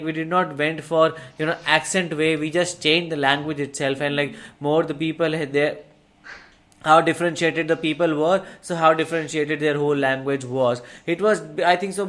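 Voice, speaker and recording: A man's voice: a little high, a bit bright. A young Indian man with an Indian accent explaining his views earnestly in casual chat. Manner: earnest, explanatory, says "like" often. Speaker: reaction video co-host.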